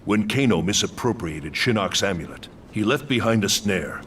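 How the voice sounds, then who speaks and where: An actor playing a dignified guardian lord in a video game cinematic, with formal, stately diction. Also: male voice, lowish voice, lively intonation, moderate pace, fairly bright tone, slight background noise. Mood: solemn. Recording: music behind the dialogue.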